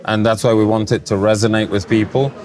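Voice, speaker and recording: A man from Poland, Polish his first language, chats as a guest in a live interview. His voice sits low, and he talks in a relaxed, measured way, often listing examples. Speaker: podcast guest, design festival founder. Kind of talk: casual interview chat. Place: festival venue, recorded live.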